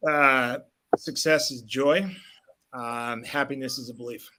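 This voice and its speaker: A man's voice: medium pitch, steady tone, slow pace, bright, forceful tone. A man speaking as an educator on a panel podcast, explaining his views conversationally.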